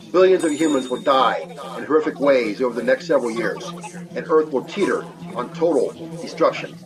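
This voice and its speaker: A man's voice: mid-range voice, natural voice, low-quality recording. A man playing a solemn, emphatic doomsday preacher delivering an urgent, ominous warning, as a call-in pastor in an audio drama. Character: grave doomsday preacher warning of mass abduction. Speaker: pastor calling in to a show. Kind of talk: urgent apocalyptic warning monologue.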